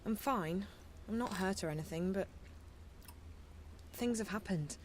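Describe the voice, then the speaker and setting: A woman's voice: medium pitch, a little slow, a little hiss or hum, close to an everyday voice. A woman voicing an earnest, determined young woman in a game, sincere and a little hesitant. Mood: weary, determined. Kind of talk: naturalistic game voice acting.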